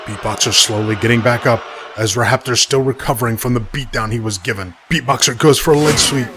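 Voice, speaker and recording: Slangy, hyped male fight commentator, acted: medium pitch, blow-by-blow cartoon wrestling calls, noticeable background noise. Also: brisk, lightly acted. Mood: focused. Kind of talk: sports commentary.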